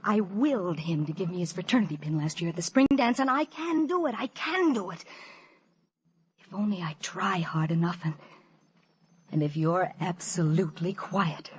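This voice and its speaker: An actress playing an obsessive, lovesick young woman in a 1940s radio suspense drama, fervent, breathy and pleading. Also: fairly low pitch, moderate pace, muffled recording. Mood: intense, brooding. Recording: old radio broadcast with music behind.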